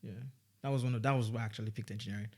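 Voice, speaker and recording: A man's voice: on the low side, fairly even intonation, very fast. A young man from Nigeria with a Nigerian accent, a student guest on a podcast, talking casually and rambling with many restarts. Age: young adult. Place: podcast studio.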